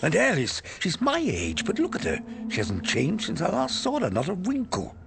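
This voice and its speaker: An actor playing a middle-aged spaceship captain in a radio drama, concerned, protective and urgent. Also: male voice, high voice, huge pitch swings, moderate pace, creaky, a crowd in the background, full character voice. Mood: uneasy. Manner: urgent, reassuring.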